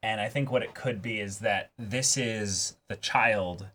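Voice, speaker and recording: A man's voice: on the low side, unhurried, pushed and bright. A man in a casual analytical discussion as a show co-host, piecing his thoughts together in hesitant fragments. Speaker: co-host of an anime reaction show.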